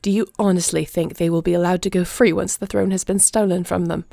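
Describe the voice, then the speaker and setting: A woman playing a commanding dragon-shifter warrior queen in a fantasy audio roleplay, speaking formally, deliberately and imperiously. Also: lowish voice, steady tone, creaky, mellow, no room sound, studio quality. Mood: steely, urgent.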